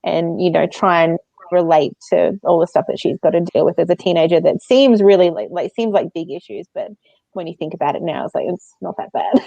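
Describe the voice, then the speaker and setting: A woman with a creaky, fry-edged voice co-hosts a casual book chat. She is informal and giggly in manner, and the sound is dry and a little dull. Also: lowish voice, a little fast, mellow, dry recording. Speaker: book podcast co-host.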